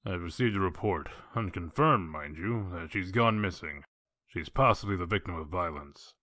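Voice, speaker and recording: Man playing a low, gruff, blunt detective. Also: moderate pace, pushed and bright, no room sound, studio quality. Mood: grave, businesslike. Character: gruff, blunt male wolf detective, grimly matter-of-fact. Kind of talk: comic dub voice acting.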